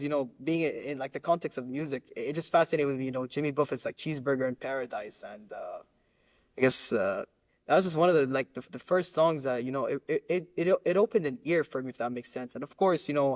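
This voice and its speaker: A man from Lebanon who grew up in Qatar, talking casually as a podcast guest in a halting, rambling way, repeating words as he searches for phrasing. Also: mid-range voice, no room sound. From Lebanon, raised in Qatar. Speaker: musician interview guest.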